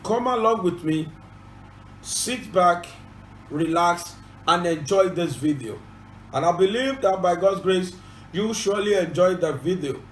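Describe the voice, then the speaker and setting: A man's voice: sits high, lively intonation, unhurried, echoey room, slight background noise. A man with a Yoruba accent and Yoruba as his first language, hosting an informative channel and switching between Yoruba and English in an earnest, repetitive, formal way. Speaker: channel host and presenter. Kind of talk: informative bilingual presentation.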